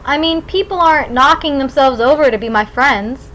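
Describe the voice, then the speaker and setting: A woman's voice: high-pitched, normal speed, a bit bright, a little hiss or hum, poor audio. A woman voicing a lonely, guarded teenage boy in an audiobook, hesitant and uneasy in manner. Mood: anxious. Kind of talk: audiobook character dialogue.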